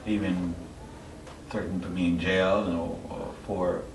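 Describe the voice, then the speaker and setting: Low-voiced middle-aged man, echoey noisy recording. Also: flat delivery, measured pace. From Haiti. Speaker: interview guest, church member. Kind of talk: personal testimony, conversational. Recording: echoey, distant mic, clear background noise.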